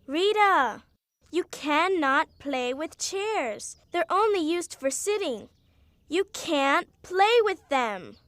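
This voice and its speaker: A woman's voice: very high voice, animated, slow, a bit bright, no room sound, full character voice. A woman voicing a stubborn, aloof young female character in a kids' cartoon, matter-of-fact and dismissive. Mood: stubborn, grudging. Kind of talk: animated kids' cartoon dialogue.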